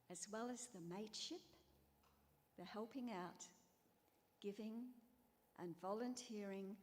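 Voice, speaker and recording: A middle-aged Australian woman giving an earnest, measured ceremonial speech as guest speaker, a teacher librarian. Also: medium pitch. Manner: earnest, measured, heartfelt phrasing in short lists. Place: awards event venue. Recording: long reverb in a large hall.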